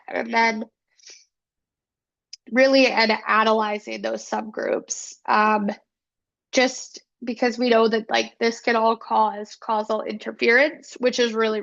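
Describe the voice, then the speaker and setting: A woman's voice: fairly high pitch, vocal fry, fairly bright tone, highs cut off. A woman with a cold giving a casual academic presentation, earnest and full of fillers. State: has a cold. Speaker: student presenter.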